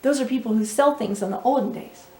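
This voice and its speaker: A woman's voice: a little high, fast, dark tone. A woman hosting a children's storytime, reading expressively and speaking to young listeners with teacherly questions and asides. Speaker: children's storytime host and reader. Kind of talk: animated read-aloud with asides to young listeners. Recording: roomy echo with music underneath.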